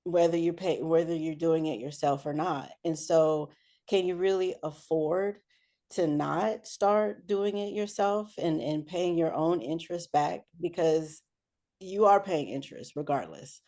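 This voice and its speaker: A woman giving conversational interview answers as a guest, often pausing mid-sentence. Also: low voice, dark tone. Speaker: interview guest, air traffic control supervisor and businesswoman.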